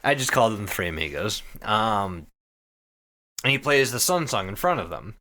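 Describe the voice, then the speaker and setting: A man hosting a podcast, giving a casual, profanity-laced story recap to his co-hosts in an animated way. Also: lowish voice, moderate pace. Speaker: podcast host.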